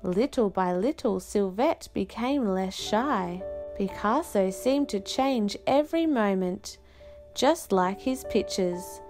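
A woman reading a children's picture book aloud with expressive, careful, unhurried phrasing and dramatic pauses. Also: fairly high pitch.

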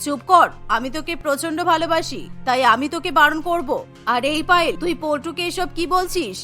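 Cartoon grandmother, elderly, stern and commanding, forceful high voice, acted by a woman. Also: a little fast, faint music behind. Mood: stern scolding. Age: older. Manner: scolding, commanding. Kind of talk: children's cartoon voice acting.

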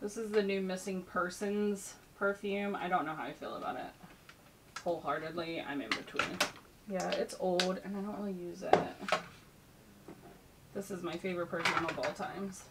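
A woman's voice: on the low side, fairly even intonation, normal speed. A woman in her thirties chatting casually as she tidies her beauty shelves indoors, moving toward and away from the camera mic. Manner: chatty, rambling, thinking aloud while tidying. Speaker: beauty YouTuber. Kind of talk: casual cleaning vlog chat. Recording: noisy room tone with clicks.

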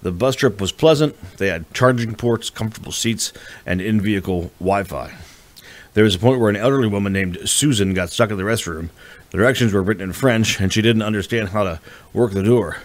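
A man's voice: on the low side, a little fast. A man reading a novel as a scripted audiobook narration.

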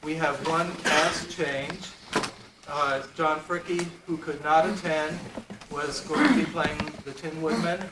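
A man in his forties giving a formal, measured introduction to a stage play, captured on an amateur camcorder. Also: a little high, fairly even intonation, far from the mic. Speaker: master of ceremonies introducing a play. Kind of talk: prepared introductory speech to an audience. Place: indoor convention hall, standing before stage curtain, distant camera. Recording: echoey, noisy amateur camcorder from afar.

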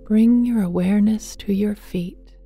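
Very soft, mellow woman guiding meditation, slowly. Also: medium pitch. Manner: gentle, hushed, often breathy near a whisper; tender, affectionate address to listener. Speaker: meditation guide. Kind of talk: guided meditation, slow and soothing. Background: soft meditation music with singing bowls.

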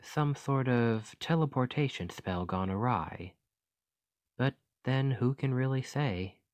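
A man playing a gentle, curious fantasy archaeologist in an ASMR roleplay, speaking in a formal, musing, articulate way. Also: medium pitch, animated, slow, no room sound. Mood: musing, fascinated.

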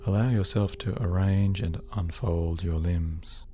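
A man narrating a guided sleep hypnosis session in a soothing, measured, deliberate way, as a hypnotherapist. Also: low voice, steady tone, mellow. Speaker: hypnotherapist host. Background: background music.

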